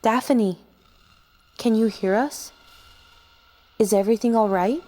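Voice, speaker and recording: A woman playing a gentle, sorrowful young woman in a radio drama, speaking tenderly and solemnly. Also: fairly high pitch, takes it slowly. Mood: concerned.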